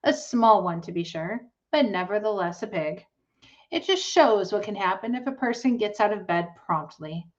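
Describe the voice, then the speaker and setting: A patient, firm farmer dad from a storybook, voiced by a woman, speaking in a measured and kindly way at middle pitch. The sound is slightly dull. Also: lively intonation, close to an everyday voice. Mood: wry. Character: gentle, firm farmer father.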